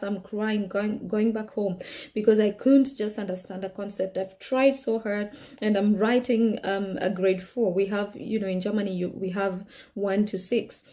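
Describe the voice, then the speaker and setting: A young woman from Kenya, speaking English as a second language, tells her own story in an earnest, animated way, peppering it with "you know." Her voice is mellow and warm. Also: medium pitch. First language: not English. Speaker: vlogger. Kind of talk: personal storytelling.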